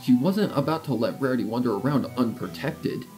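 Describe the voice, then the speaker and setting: A man's voice: medium pitch, lively intonation, a little fast. A man narrating a scripted story as an audiobook-style reader. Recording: soft music behind the voice.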